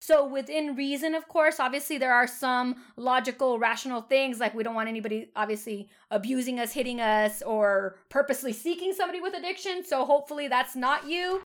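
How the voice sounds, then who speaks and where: A woman's voice: high voice, fairly even intonation, fairly quick, hardly any pauses. A woman who is a professional therapist, co-hosting a podcast in casual chat, explaining patiently and laughing often.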